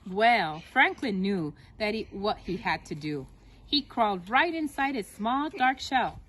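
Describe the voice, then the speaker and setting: A woman reads a children's story aloud in an expressive, emphatic way. Her intonation is very animated, rising and falling often as she addresses young listeners. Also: mid-range voice, measured pace.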